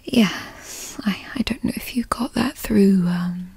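A woman voicing a doting, possessive yandere girlfriend in a breathy whispered ASMR roleplay, coaxing and coyly controlling with formal wording. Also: mid-range voice, slow pace, a little mellow, no room sound, full character voice. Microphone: close microphone, dry sound.

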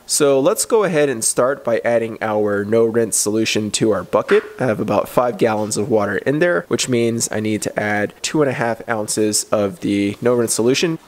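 A man's voice: lowish voice, normal speed, hardly any pauses. A man in his thirties hosting a casual how-to video about washing a car, talking plainly and practically in his garage. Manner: relaxed, plain-spoken, practical. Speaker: car care channel host. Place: home garage and parking lot.

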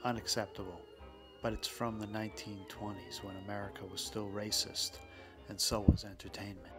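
A man's voice: fairly low pitch, little pitch movement, moderate pace. A man delivering scripted documentary narration as the host. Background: music.

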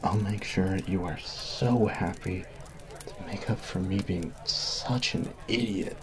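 Low-pitched, slow-spoken goofy college jock, earnest and dark-toned, close-miked. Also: male voice, background noise. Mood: flustered. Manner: earnest, flustered, apologetic. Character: sweet goofy male college jock, best friend. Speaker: voice actor. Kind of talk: ASMR roleplay. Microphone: close binaural mic.

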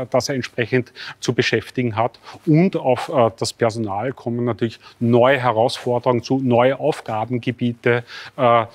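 Middle-aged man, academic expert. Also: medium pitch. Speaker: university professor, expert guest. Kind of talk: formal expert panel discussion. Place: large dark indoor studio showroom.

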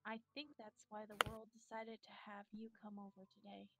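A woman voicing a sad, self-blaming, fragile teenage anime girl in a visual novel, with halting emotional delivery. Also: medium pitch, monotone, loud music behind the voice, close to an everyday voice, low-quality recording. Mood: sad, guilty. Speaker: amateur reader of a visual novel character. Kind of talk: dramatic visual novel reading.

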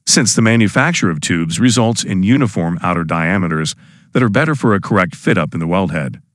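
A man's voice: lowish voice, no room sound, clean studio sound. A man giving scripted, formal narration for an instructional explainer video.

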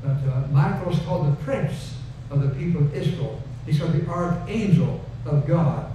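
A man's voice: a little high, animated, moderate pace. An older man preaching a sermon from a pulpit in a folksy, conversational way, repeating phrases for emphasis, then leading a prayer. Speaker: pastor. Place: wood-paneled church stage, indoors. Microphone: headset mic at a pulpit. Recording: echoey room, distant and dull, noisy.

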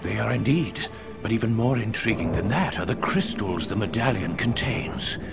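A man playing an older intelligence director in a video game, formal, composed and deliberate. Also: lowish voice, animated, creaky, over loud music.

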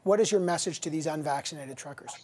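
A man's voice: fairly high pitch, fast, a little mellow, husky. A man hosting a TV news interview in a studio, pressing his guest and cutting in to keep to time. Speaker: TV news host. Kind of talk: political TV interview.

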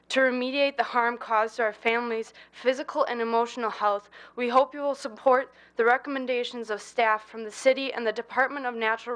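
A woman with a fairly high voice reads a solemn, formal statement aloud at a council meeting. She speaks evenly and carefully. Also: a bit bright, low-quality recording. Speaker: public commenter from the donor family. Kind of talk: reading a prepared public statement. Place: city council chamber.

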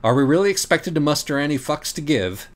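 A man's voice: on the high side, lively intonation, a little fast. A man reading scripted comic narration as an audiobook reader, in a mock-dramatic, scornful, profane manner.

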